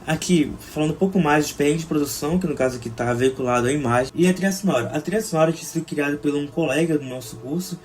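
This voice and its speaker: A young man with a Brazilian Portuguese accent gives an academic slide presentation as a student film director, in a halting, hesitant manner. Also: a little high. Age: young adult. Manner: halting, with frequent hesitations and restarts. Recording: clear background noise.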